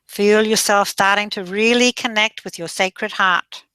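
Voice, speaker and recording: A woman leading a guided meditation in a slow, soothing voice that drifts into soft breathy passages. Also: medium pitch, steady tone, dry recording, poor audio.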